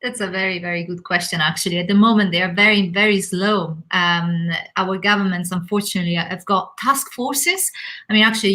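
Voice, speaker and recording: A woman speaking on a panel in a measured way, filling her sentences with many fillers. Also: mid-range voice, a bit bright. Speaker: panel speaker, company CEO. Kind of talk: panel discussion.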